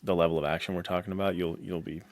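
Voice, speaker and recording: A man's voice: low voice, flat delivery, very fast, darkish tone. An American man talking casually and earnestly as a podcast co-host about action films, with slangy, conversational phrasing.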